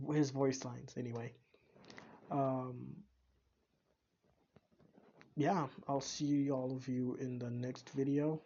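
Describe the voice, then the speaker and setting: A man's voice: medium pitch, darkish tone. Young guy rambling through a reaction video, lots of restarts, muffled lo-fi mic, faint hiss. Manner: rambling, hesitant, many restarts. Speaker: reaction channel host. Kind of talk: casual reaction commentary.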